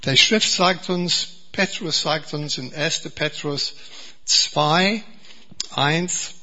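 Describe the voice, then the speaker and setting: An Englishman preaching in German, a second language learned alongside his native English, speaking slowly and earnestly with many repetitions and restarts. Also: a little high, sharp tone, husky, lo-fi sound. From England. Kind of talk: sermon.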